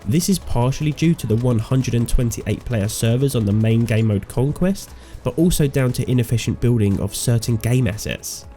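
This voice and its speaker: A man's voice: medium pitch, normal speed, mellow, soft background music. A man with a cold and a slightly nasal voice, reading a scripted, opinionated game review as host. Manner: opinionated, sardonic. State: sick, nasal voice. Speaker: gaming video host.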